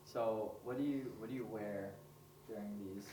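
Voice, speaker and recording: A man interviews a guest in a casual style, asking short questions and reacting with quick interjections. His voice sits on the lower side. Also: steady tone, warm tone, slight background noise, low-quality recording. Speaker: student interviewer.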